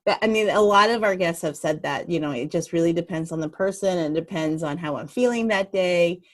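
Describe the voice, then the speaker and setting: A woman's voice: lowish voice, fairly quick. A woman hosting a podcast, who asks earnest, reflective questions and shares her own feelings in an easy conversational tone. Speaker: podcast host. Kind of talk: conversational interview.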